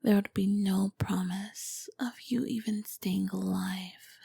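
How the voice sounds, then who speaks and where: Casting: female performer as charming, dangerous royal vampire queen for ASMR roleplay; breathy whispered delivery, unhurried, courtly and flirtatious. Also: medium pitch, steady tone, dark tone, no echo, studio quality, full character voice. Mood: hungry, menacing. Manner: slow, formal, flirtatious phrasing. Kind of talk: ASMR roleplay, scripted and intimate.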